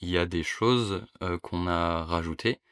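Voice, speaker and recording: A young adult man co-hosting a podcast in casual chat, relaxed and agreeable, with playful asides. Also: low voice, measured pace, clean tone, no room sound, clean studio sound. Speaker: podcast co-host.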